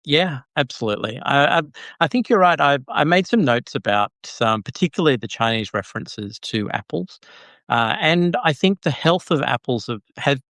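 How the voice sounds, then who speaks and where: A man's voice: medium pitch, animated, normal speed, fairly bright tone, no echo. A man hosting a casual podcast chat who speaks hesitantly, with lots of "uh"s and repeated words. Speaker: podcast host.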